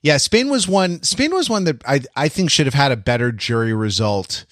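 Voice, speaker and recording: A man's voice: medium pitch, expressive, moderate pace, a bit bright, no echo, clean studio sound. A man chatting casually as a podcast co-host, with a wry, teasing way of talking. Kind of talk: casual chat, discussion.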